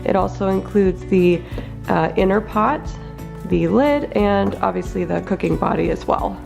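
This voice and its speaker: Casting note: excitable woman vlogger with a head cold, gravelly fry, recorded off-mic in an echoey kitchen. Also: mid-range voice, unhurried, recorded from a distance. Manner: chatty and excitable, narrating as she unpacks. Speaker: home cooking vlogger. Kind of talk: casual unboxing vlog. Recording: echoey room, off-mic, music underneath.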